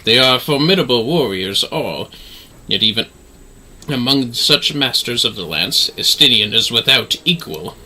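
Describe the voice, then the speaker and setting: A man's voice: medium pitch, lively intonation, measured pace, pushed and bright, husky, poor audio. A man hosting a gaming livestream, chatting to viewers and reading game dialogue aloud.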